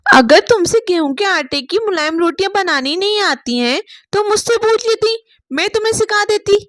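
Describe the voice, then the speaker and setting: A woman voicing a capable, caring elder sister-in-law with an instructive, gently firm manner, in storybook dialogue. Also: very high voice, animated, moderate pace, no echo, studio quality, voice pushed up. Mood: reassuring.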